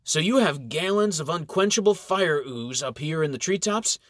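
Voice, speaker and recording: A man reading a storybook voices a cheerful, wise old male reverend giving gentle advice. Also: fairly high pitch, lively intonation, moderate pace, no room sound, studio quality, voice pushed up, close to an everyday voice. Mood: advising. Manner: gentle, advising.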